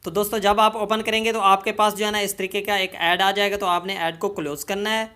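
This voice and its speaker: Casual how-to host, medium pitch, keeps calling viewers friends, lots of repeated filler phrases. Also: level tone, a little fast, bright, forceful tone, clean tone. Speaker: tutorial host. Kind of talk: casual how-to tutorial narration.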